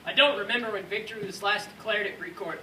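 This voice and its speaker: A man plays a dutiful American paratrooper telling of combat in a war drama. The delivery is declamatory, with a high, piercing tone. The voice is picked up from far off, with faint noise behind it. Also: fast talker, bright, forceful tone, echoey room. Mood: sober, matter-of-fact. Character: male American WWII paratrooper, dutiful veteran recounting combat. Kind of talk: rehearsed dramatic war narration.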